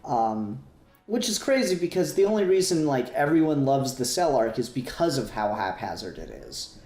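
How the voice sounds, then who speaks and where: A man's voice: mid-range voice, very animated, normal speed, darkish tone. A man co-hosting a podcast, talking casually and at rambling length about fan topics, with some swearing. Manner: rambling, profane. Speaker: podcast co-host. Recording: roomy, echoey voice recording.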